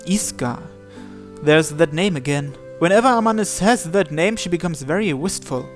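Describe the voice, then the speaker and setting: A man's voice: a little high, expressive, normal speed. A man reading a visual novel aloud as story narration. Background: game music.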